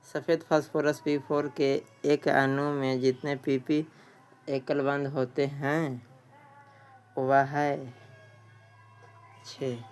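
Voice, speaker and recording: A man's voice: medium pitch, level tone, slow, pauses often, smooth. A man teaching an exam practice lesson, reading out questions and answers and often repeating a question before answering.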